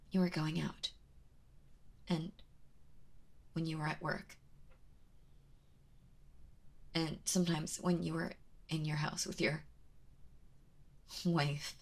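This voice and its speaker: A woman playing a kind but obsessive, protective yandere in an intimate ASMR roleplay, speaking haltingly and often dropping toward a whisper. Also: lowish voice, full character voice. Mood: smitten, embarrassed. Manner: hesitant, halting, breathy with drops into whispering.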